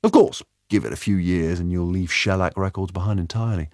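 A man playing an excitable, kindly alien time traveller who rambles enthusiastically in an audiobook story. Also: deep voice, a little fast, dry recording, studio quality. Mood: excited, rambling.

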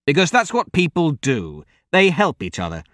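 A man's voice: fairly high pitch, huge pitch swings, moderate pace, fairly bright tone, no room sound, studio quality, voice pushed up. A man doing an easygoing, good-hearted young swordsman. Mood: easygoing upbeat.

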